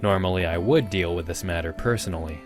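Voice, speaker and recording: A man's voice: deep voice, quick pace, close to an everyday voice. A man playing a suave, calculating young student council president in an anime dub, formal and smugly polite. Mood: formal, persuasive. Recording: music behind the voice.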